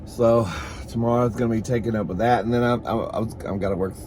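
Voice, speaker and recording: A man's voice: medium pitch, steady tone, fast. Campy sassy guy just chatting while he eats, swears a lot, mid voice, bit of noise behind him. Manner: campy and sassy, calls listeners "girl" and "honey"; bawdy, swears freely. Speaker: host of a comedy mukbang vlog. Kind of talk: casual comic chat to camera while eating.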